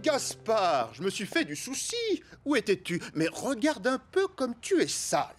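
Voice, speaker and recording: A woman's voice: a little high, wildly swooping pitch, a little slow. An actress playing a caring dog owner who fusses in a motherly way. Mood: worried. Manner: fussing, motherly. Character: kind adult woman, dog's caring owner. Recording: music underneath.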